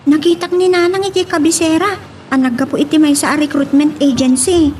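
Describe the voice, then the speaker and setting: A woman's voice: high-pitched, steady tone, a little fast, a little mellow, a little hiss or hum, lightly acted. A woman playing an anxious, dutiful young daughter in an Ilocano audio drama, with an Ilocano accent. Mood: earnest, troubled.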